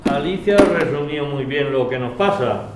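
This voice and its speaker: Man as older indignant neighbour, highish male voice, distant mic, echo, radio drama. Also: animated, moderate pace, very noisy, close to an everyday voice. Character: don Emilio, indignant older male neighbour facing eviction. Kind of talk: amateur radio drama acting.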